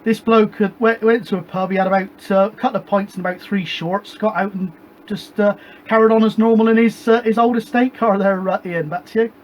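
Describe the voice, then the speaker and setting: Chatty high-voiced British man. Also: fairly even intonation, brisk, a little hiss or hum, poor audio. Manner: rambling and chatty, ends each turn with "back to you". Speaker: CB radio operator and show host. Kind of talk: casual radio chat on a CB net. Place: home shed radio room with a gas fire.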